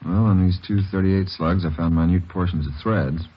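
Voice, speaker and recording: A man playing a methodical, laconic police lab chemist in an old radio drama, with a husky voice. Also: low-pitched, fairly even intonation, moderate pace. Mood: methodical, matter-of-fact. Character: male police crime lab chemist, methodical and matter-of-fact. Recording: dull old radio recording.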